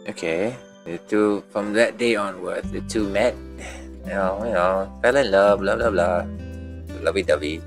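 A man's voice: deep voice, unhurried. A man streaming a game with casual, offhand commentary, muttering and paraphrasing as he reads. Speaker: gaming streamer. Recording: background game music. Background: game music.

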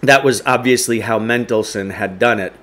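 Man, middle-aged, medium pitch, story narration, recorded at home. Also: moderate pace, fairly bright tone. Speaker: teacher reading aloud. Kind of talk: audiobook-style story reading. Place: indoors, home living room with wood stove.

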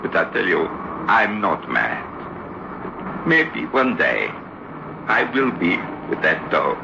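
An actor playing a tormented, paranoid Italian ventriloquist with an Italian accent and melodramatic broken English, in old-time radio drama style. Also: male voice, sits low, sing-song, slow, vocal fry, noisy background, exaggerated voice. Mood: uneasy cheer. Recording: dull, lo-fi old radio recording.

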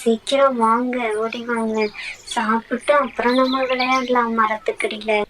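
A woman voicing an excited little kid. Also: on the high side, a little slow, background noise, lightly acted. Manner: excited, urging others on. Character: excited young child, generous. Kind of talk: cartoon voice acting.

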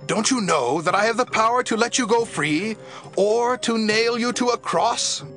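A man's voice: high-pitched, normal speed, a bit bright. An actor playing an exasperated Roman governor in a scripture audio drama, commanding and emphatic as he questions and projects. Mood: loud, insistent. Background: music and crowd noise.

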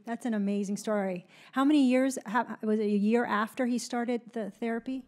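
A young woman hosting a panel, speaking softly with short affirming reactions, into a handheld mic in a small office. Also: a little high, steady tone, fairly quick. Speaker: interview host. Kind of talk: moderating a panel. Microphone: handheld mic held close.

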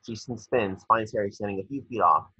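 A man's voice: on the low side, brisk, sharp tone, husky, no echo. A man reading stage directions aloud as narrator at a table read, now and then stumbling over words. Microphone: video call. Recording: dull lo-fi video call audio.

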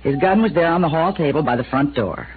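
A brassy, sarcastic young widow on tinny old radio. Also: female voice, deep voice, expressive, slightly rough, exaggerated voice. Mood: guarded. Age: young adult. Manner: sarcastic, brassy, quick to take offense. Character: sharp-tongued defensive young widow, suspicious. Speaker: widow of a police chief. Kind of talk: 1950s radio acting.

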